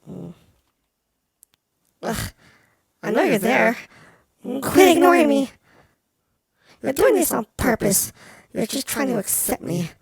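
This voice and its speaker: A woman's voice: fairly high pitch, big pitch swings, takes it slowly, gravelly, long pauses, slightly rough, dry recording, clean studio sound, exaggerated voice. A woman playing a jealous, melodramatic porcelain doll in a game, speaking in a prim, pouty, formal way. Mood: indignant. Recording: loud music behind the voice. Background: music.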